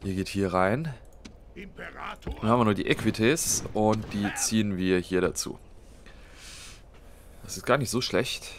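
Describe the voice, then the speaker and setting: A man's voice: fairly low pitch, expressive, measured pace, creaky, long pauses, background noise. A man with a cold, casually thinking aloud while playing a strategy game, with lots of fillers. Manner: thinks aloud, many fillers, plans moves step by step. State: has a cold. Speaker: let's play host. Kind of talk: casual gameplay commentary.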